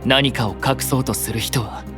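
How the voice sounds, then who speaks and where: An actor playing a composed, courteous knight companion with polite, measured speech in a fantasy audio drama. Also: male voice, medium pitch, fairly even intonation, over loud music, close to an everyday voice. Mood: cool, firm. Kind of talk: anime-style fantasy audio drama acting.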